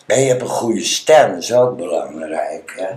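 A man talking casually and personally in a halting, plain-spoken way, in an echoey room. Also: sits low, animated, a little mellow.

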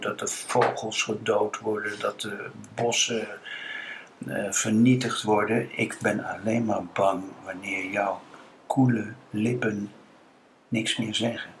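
Dutch guy doing an interview, takes his time, thoughtful halting phrasing, bit of background noise. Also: fairly low pitch, a bit bright, low-quality recording. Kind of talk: conversational interview.

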